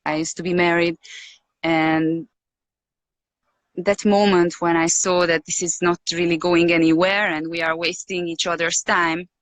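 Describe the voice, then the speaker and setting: Soft, hesitant woman from Romania with a Hungarian accent. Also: fairly low pitch, no room sound, rough recording. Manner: hesitant, searching for words with many pauses and fillers. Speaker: podcast guest. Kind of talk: reflective interview talk.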